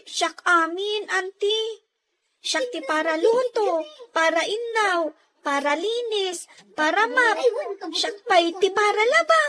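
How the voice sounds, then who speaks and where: A woman's voice: very high voice, animated, takes it slowly, pushed and bright, exaggerated voice. A woman playing a meek, overworked young girl in a family drama, with a childlike, plaintive way of talking. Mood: excited, plaintive. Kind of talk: Ilocano audio-visual family drama acting.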